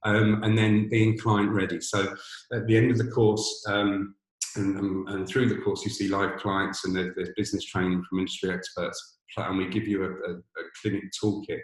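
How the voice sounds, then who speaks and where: A man with a boomy voice presents a webinar on a video call from an empty borrowed office, talking hesitantly with many restarts and fillers. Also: level tone, moderate pace, echoey room, rough recording. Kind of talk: informational webinar talk. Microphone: computer mic on a video call.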